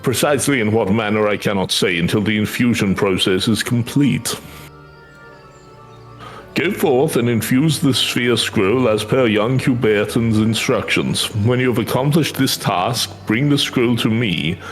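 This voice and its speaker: A man's voice: mid-range voice, unhurried, faint music behind, close to an everyday voice. Man playing a formal, scholarly game mentor. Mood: welcoming, measured. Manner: formal, old-fashioned diction. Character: courteous, scholarly mentor addressing an adventurer, formal and archaic.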